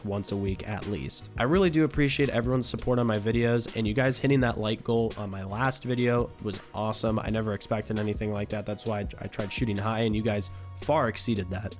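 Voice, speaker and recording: A young man speaks in a casual, opinionated rant, his voice a little raspy from recent illness. His pitch sits in the middle, and the delivery stays blunt and loose, with the odd curse thrown in. Faint music plays softly underneath. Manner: ranting, blunt, casual with swearing. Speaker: gaming YouTuber. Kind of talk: opinionated commentary vlog.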